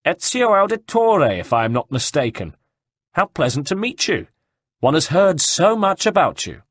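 A man voicing a mocking Italian nobleman villain in an audiobook, taunting and projecting loudly. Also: medium pitch, very animated, unhurried, breathy edge, dry recording, voice pushed up, exaggerated voice. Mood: mock politeness. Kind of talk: audiobook character dialogue.

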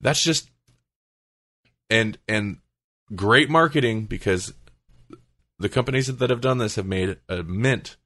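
A man's voice: on the low side, measured pace, long pauses, bright, forceful tone. A man co-hosting a podcast in casual chat, reacting emphatically and repeating himself for emphasis. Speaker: podcast co-host.

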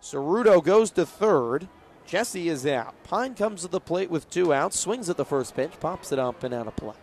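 A man's voice: a little high, lively intonation, moderate pace, darkish tone. A young man doing baseball play-by-play for radio as the announcer. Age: young adult. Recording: faint ballpark noise behind.

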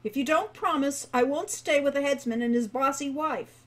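A woman's voice: high-pitched, moderate pace, fairly bright tone, voice pushed up. Woman reading a stubborn spirited girl. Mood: insistent.

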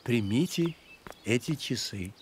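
An actor playing a courteous maestro guide, narrating an educational children's cartoon expressively. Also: male voice, medium pitch, animated, a little slow, a little hiss or hum, lightly acted. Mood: courteous, explaining.

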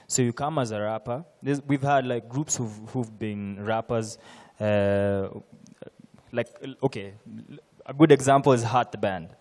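A man's voice: medium pitch, darkish tone. A man with a Kenyan accent, a musician speaking casually on a panel into a handheld mic, slipping into Swahili phrases. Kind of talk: panel discussion. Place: conference stage.

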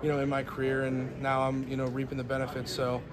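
A young college football player answering reporters after a game in a crowded weight room, earnest and grateful, with many fillers. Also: male voice, mid-range voice, monotone, quick pace. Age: young adult. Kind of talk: postgame media scrum. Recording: crowd chatter behind.

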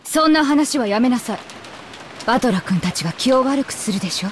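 A woman's voice: fairly high pitch, expressive, a little fast, natural voice. A woman playing a stern, coldly dignified mother in an anime visual novel, speaking in a formal, authoritative way. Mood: scolding. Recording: clear rain noise behind.

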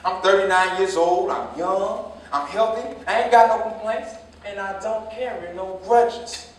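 A man's voice: high voice, unhurried. An American man acting a proud, defensive grown son just out of prison, insistent, in a staged play reading. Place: indoor staged reading, recorded from afar. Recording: echoey, noisy stage from far off.

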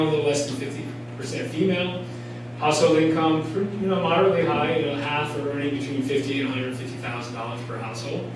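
Man speaking in a big echoey hall. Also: medium pitch. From Colorado. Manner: casual, enthusiastic, many fillers and restarts. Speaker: presenter. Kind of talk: conference presentation. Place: large hall with an audience. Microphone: podium mic, filmed from far back. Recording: echoey hall from far off, noisy.

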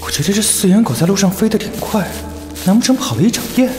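Radio drama: cocky young man held captive, brash, exclamatory, very animated delivery, played by a male actor. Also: high voice, quick pace, faint music behind. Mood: wry. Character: young man captive, cocky, foul-mouthed, sarcastic, defiant.

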